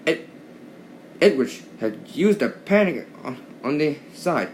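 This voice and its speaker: A man reads a children's story aloud in a highly animated voice. He stumbles over words and repeats them as he goes, and there is noticeable noise in the background. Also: slow. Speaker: storybook narrator. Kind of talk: children's read-along narration.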